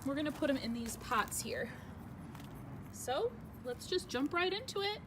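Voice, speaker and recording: A woman with a high voice hosts a casual hobby vlog. She chats informally and gushes freely. There is clear noise behind her voice. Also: lively intonation, a little slow. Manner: gushing, informal. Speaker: vlog host. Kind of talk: casual chatty hobby video narration.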